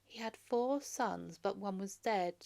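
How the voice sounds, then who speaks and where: A woman reading a children's storybook aloud in an expressive, narrating style. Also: mid-range voice, measured pace, vocal fry. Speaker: storybook reader.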